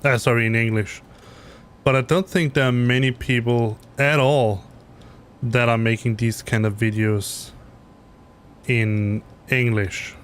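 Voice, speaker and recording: A man's voice: medium pitch, steady tone, a little slow, slight background noise. Hesitant Danish man chatting while flying. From Denmark. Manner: rambling, hesitant, self-correcting with many fillers. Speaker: streamer flying a flight simulator. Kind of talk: casual live-stream chat. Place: home basement room.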